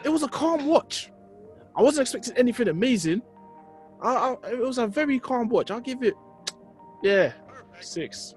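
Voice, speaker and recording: Male co-host, notably high pitch, informal slangy banter with repeated phrases. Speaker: podcast co-host. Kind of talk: casual chat among friends.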